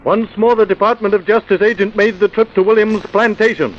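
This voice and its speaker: A man's voice: sits high, steady tone, fairly quick, close to an everyday voice. A man delivering grave, dramatic scripted narration for an old-time radio crime drama. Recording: muffled, noisy vintage radio recording.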